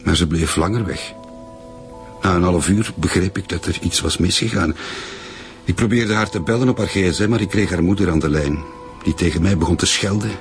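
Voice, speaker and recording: A man acts out a young Turkish-Flemish suspect in a radio crime drama. His voice is low and his manner is defensive as he explains himself. Clear music runs underneath. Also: a little fast, natural voice. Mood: uneasy, explaining. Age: young adult. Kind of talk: naturalistic radio drama acting.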